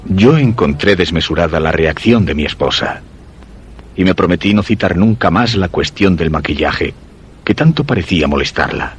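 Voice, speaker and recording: An actor with a Castilian accent playing a suspicious husband, narrating a dark suspense radio drama in measured, literary phrasing. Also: male voice, low-pitched, expressive, slight background noise. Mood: brooding. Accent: Castilian Spanish.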